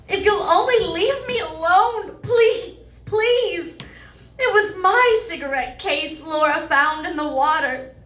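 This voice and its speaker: A woman's voice: very high voice, pushed and bright, exaggerated voice. A woman playing a guilty, desperate woman who pleads and sobs through her confession, in a live radio drama. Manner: pleading, breathless sobbing. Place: small live venue. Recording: roomy live room sound.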